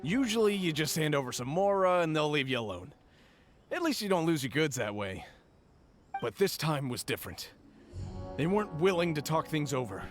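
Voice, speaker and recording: An actor playing a nervous, shaken merchant in a video game, recounting events in a rambling way. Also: male voice, a little high, big pitch swings, moderate pace, soft background music. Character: jittery middle-aged merchant man, nervous.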